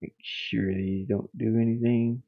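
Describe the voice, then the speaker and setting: A man thinking aloud on a casual livestream as he works, muttering in a halting, absent-minded way. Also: lowish voice, fairly even intonation, creaky. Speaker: livestreamer, hobby game developer. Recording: boxy echo, thin phone-like sound.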